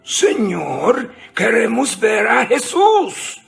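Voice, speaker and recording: An ancient townsman acted by a man in a Bible audio drama, creaky and swooping. He speaks slowly in an echoing space. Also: very high voice, wildly swooping pitch, fairly bright tone, faint music behind, full character voice. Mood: earnest request. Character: onlookers in ancient Jerusalem, pleading or resigned. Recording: roomy, echoing voice.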